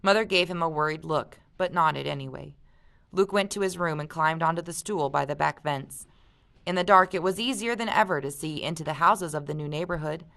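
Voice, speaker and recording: A woman reading aloud expressively as a teacher, pausing for casual discussion and guiding questions. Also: deep voice, vocal fry, fairly bright tone. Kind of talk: audiobook-style read-aloud with casual discussion.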